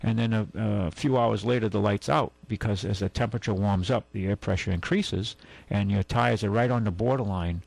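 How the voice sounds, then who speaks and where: Male radio host, deep and gravelly, husky tone, rambling with lots of fillers. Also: normal speed, a little mellow, dry recording. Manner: rambling, many fillers and restarts. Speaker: radio car show host. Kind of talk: casual radio talk.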